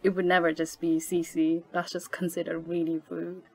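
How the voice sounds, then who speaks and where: Twenty-something woman from nepal, rambling guest chat, lots of "like" and little laughs, lowish voice. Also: little pitch movement, normal speed, husky, low-quality recording. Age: 20s. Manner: chatty, many fillers, laughs often. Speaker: podcast guest. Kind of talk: casual interview chat.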